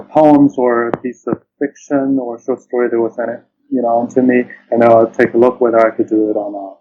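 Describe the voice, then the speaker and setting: Muffled, noisy recording of a hesitant man. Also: mid-range voice. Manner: hesitant, repeats words, searching phrasing. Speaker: interview guest, literary translator. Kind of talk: conversational interview answers. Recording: dull lo-fi sound, loud-ish background noise.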